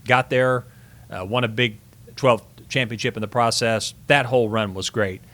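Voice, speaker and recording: A man's voice: medium pitch, steady tone, normal speed, fairly bright tone. A middle-aged man speaking as an interview guest, telling sports stories casually with reflective, emphatic phrasing. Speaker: sports broadcaster, podcast guest.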